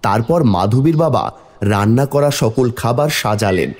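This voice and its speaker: A man's voice: medium pitch, expressive, a little slow, a little mellow, faint music behind. A man narrating a scripted fairy-tale cartoon as its storyteller.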